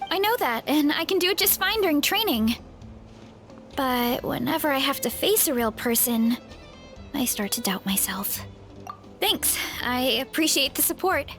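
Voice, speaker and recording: A woman's voice: high-pitched, animated, normal speed. A cheerful hungry young woman. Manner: bright and friendly, laughs easily. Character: cheerful young woman, big appetite, warm and upbeat. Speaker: game character showing guests an orchard. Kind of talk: video game voice acting. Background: soft game music.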